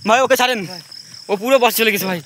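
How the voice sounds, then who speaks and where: A man cries out in fear again and again, his voice high and very bright. He talks fast, as if scrambling to keep up with danger. A faint hiss of night-time outdoor noise sits behind him. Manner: fearful, repeated exclamations. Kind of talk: frantic live commentary.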